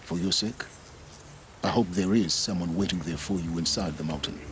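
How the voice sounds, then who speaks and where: Man acting an aloof, deep-voiced secretive schemer. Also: a little hiss or hum, natural voice. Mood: solemn, regretful. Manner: measured, dry, formal. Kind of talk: video game cutscene acting.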